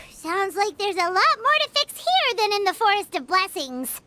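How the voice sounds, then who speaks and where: A woman voicing a small, excitable childlike companion in a video game, squeaky, chirpy and expressive. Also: unhurried, very noisy, exaggerated voice. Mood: worried summary. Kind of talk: video game cutscene acting.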